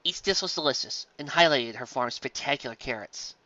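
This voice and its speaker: A reader reading a pony fan story aloud with expressive storytelling. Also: medium pitch, a little fast, no room sound, highs cut off.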